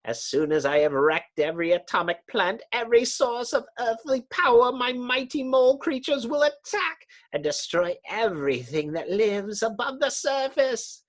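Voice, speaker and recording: A man's voice: very high voice, animated, moderate pace, few pauses, voice pushed up. A man voicing a mad, boastful mole-like comic-book villain in a grandiose, taunting, theatrical manner. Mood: menacing triumph. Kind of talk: dramatic comic book reading.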